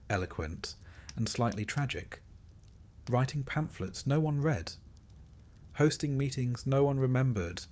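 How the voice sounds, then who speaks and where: A man with a low, noticeably husky voice reads a scripted history story meant for bedtime listening. His delivery is measured and literary, with a streak of dry irony. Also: a little mellow. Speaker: narrator.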